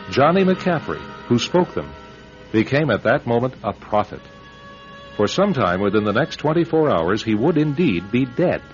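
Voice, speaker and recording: Man, scripted radio drama narration, dramatic host, music underneath, dull lo-fi broadcast. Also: mid-range voice. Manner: dramatic, deliberate.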